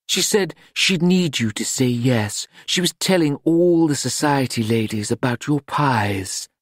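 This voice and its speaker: A man's voice: medium pitch, expressive, unhurried, dry recording, clean studio sound, pitched above the performer's own voice. Audiobook casting: worldly, indulgent madam, male narrator performing, grand, languid and persuasive. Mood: reassuring.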